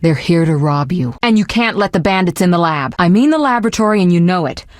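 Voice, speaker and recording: A proud young sorceress from a fantasy video game, acted by a woman, with a fairly low female voice. She is confident and articulate, able to command or to show tenderness, and is recorded close and dry. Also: expressive, fast, no echo, lightly acted. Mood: assertive, scornful.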